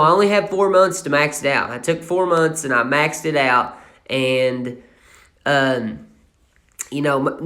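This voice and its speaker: A young man in his twenties with an Appalachian accent talks casually over a screen recording of his investments. His voice sits a little higher than most men's, and he rambles with lots of fillers and restarts. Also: pushed and bright. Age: 20s. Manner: rambling and casual, many fillers and self-corrections. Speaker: channel host.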